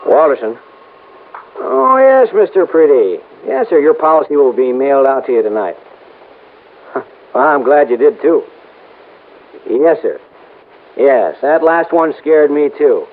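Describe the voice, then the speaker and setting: An actor playing an eager, busy insurance agent in 1950s radio drama, brisk and obliging. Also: male voice, on the high side, expressive. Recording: tinny old radio recording, faint noise.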